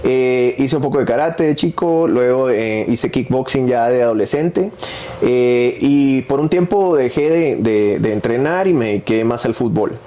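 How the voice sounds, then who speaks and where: A man's voice: mid-range voice, measured pace, mellow. A man in his forties with a Guatemalan accent, chatting casually as a podcast guest and often adding tag questions. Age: 40s. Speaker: podcast guest, MMA social media creator. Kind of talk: casual interview conversation.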